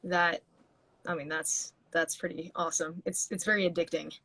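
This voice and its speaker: A woman guest talks about her music in a loose, rambling way, often restarting her sentences. Her voice sits a little low. The sound is dry and close, like a video call. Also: steady tone, moderate pace, fairly bright tone, slightly rough, dry recording, rough recording. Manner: rambling with many restarts and fillers. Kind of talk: casual interview chat.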